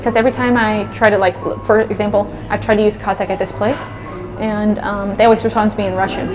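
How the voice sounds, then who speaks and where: A woman's voice: mid-range voice, little pitch movement, fast talker, over music, rough recording. A young woman, an expat English teacher, chatting casually in an interview with many fillers and frequent laughs. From United States.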